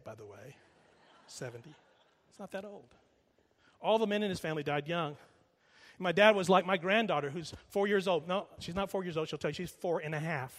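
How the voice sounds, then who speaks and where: Middle-aged male pastor, emphatic sermon delivery with a fairly high voice, recorded in a church sanctuary with long reverb. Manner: emphatic, slows down and repeats for emphasis, drops quiet when storytelling. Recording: long reverb of a large hall.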